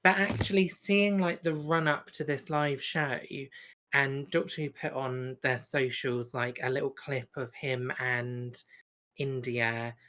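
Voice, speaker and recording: Woman podcast co-host, lowish voice, giggly teasing chat. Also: steady tone, takes it slowly, fairly bright tone. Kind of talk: casual banter and review chat.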